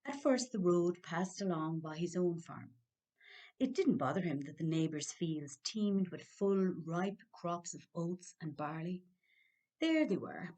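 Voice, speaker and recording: Lively Irish woman storyteller. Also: lowish voice, measured pace. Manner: dramatic, with hushed suspense. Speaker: library storyteller. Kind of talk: expressive children's storytelling.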